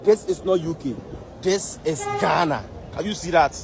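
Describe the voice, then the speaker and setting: A man's voice: on the high side, moderate pace, vocal fry. A man talking straight to camera outdoors, repeating himself emphatically as he addresses viewers. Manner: repetitive, emphatic. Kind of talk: insistent direct-to-camera rant. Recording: noisy street with crowd.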